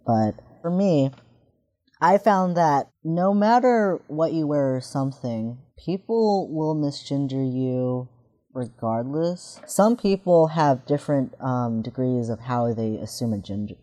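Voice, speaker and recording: A man in his twenties with a deep voice, speaking candidly in a casual discussion and thinking aloud with restarts. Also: measured pace, dark tone. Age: 20s.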